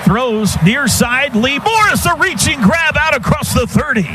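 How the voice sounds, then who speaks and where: A man's voice: extremely high pitch, big pitch swings, unhurried. A man with an American accent calling live football on the radio in clipped, staccato phrases. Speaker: play-by-play sports announcer. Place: stadium radio booth. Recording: noisy live stadium radio broadcast.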